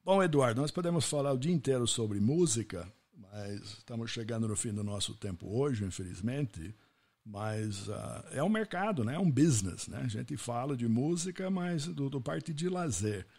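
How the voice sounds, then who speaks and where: A man with a husky voice, hosting the podcast and sharing his own stories in a reflective way. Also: mid-range voice, expressive, moderate pace, darkish tone. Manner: reflective, anecdotal. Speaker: podcast host. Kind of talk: casual interview talk.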